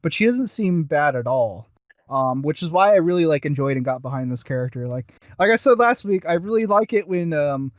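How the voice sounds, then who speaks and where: A man co-hosting a podcast in casual chat, halting and self-deprecating, often losing his thread as he reviews. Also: fairly high pitch, animated, no echo, highs cut off. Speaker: podcast co-host. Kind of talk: casual chat, reviewing audio roleplay series.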